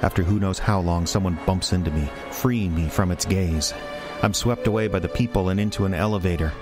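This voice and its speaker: Guy acting dazed office man telling his story in a radio play, really deep voice, deliberate little phrases, music under it. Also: close to an everyday voice. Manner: halting, deliberate short phrases. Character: dazed office man haunted by a moose, slow and hushed. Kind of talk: radio play first-person monologue.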